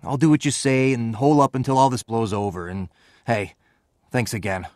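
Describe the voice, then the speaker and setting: An actor playing a brash young street thug in a video game, defiant but soft-hearted. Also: male voice, mid-range voice, fairly even intonation, moderate pace, no echo, clean studio sound, close to an everyday voice. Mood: sheepish, grateful.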